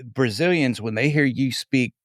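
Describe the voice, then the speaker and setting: A man co-hosting a podcast, asking a guest measured, curious questions. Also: medium pitch, dry recording, studio quality. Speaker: podcast co-host. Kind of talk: interview questions.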